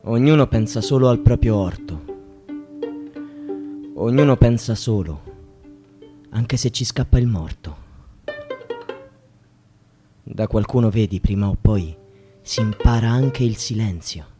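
An actor playing a solemn poetic narrator in a radio play, reciting in a measured, dramatic way with long pauses. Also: male voice, fairly low pitch, expressive, over music. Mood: solemn, brooding. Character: solemn male poetic narrator brooding on death and indifference.

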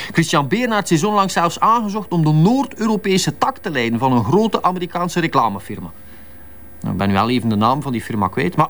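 An actor playing a chatty, jovial doctor in a radio drama, speaking with a Flemish accent and coaxing tag questions. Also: male voice, mid-range voice, a bit bright. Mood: confiding, animated. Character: chatty, jovial male doctor, fan of crime novels.

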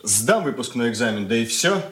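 An actor playing a brooding, wounded and defiant schoolboy son in a Soviet radio drama, earnest and bitter in manner. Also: male voice, mid-range voice, big pitch swings, fairly quick, a bit bright. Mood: bitter, determined.

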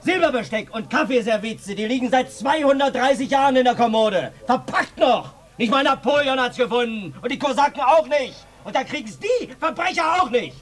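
An actor playing a loud, hot-headed village brigade leader in a German radio drama, accusatory and emphatic. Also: male voice, very high voice, lively intonation, normal speed, hardly any pauses, heavily acted. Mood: defiant, outraged.

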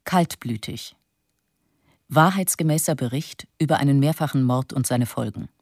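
A man's voice: medium pitch, normal speed. A man making a scripted title announcement for a radio drama.

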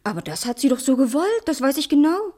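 An actress playing an innocent, trusting young princess, naive, earnest and pleading in a fairy-tale radio play. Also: female voice, sits high, very animated, fast talker, warm tone. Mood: disbelieving, pleading. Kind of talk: German radio play, theatrical.

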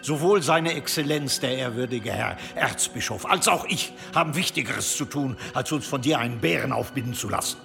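An indignant medieval bishop in a radio drama. He is pompous and scornful, with a creaky edge to his voice and faint music behind him. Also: mid-range voice, animated, normal speed, few pauses. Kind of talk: radio play acting.

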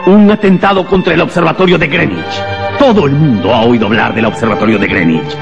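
An actor playing a haughty, contemptuous embassy official in a radio drama, emphatic and hectoring. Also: male voice, medium pitch, fairly quick, creaky, highs cut off, close to an everyday voice. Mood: lecturing. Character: imperious, contemptuous embassy official, pale and melancholy. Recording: long hall reverb, music underneath.

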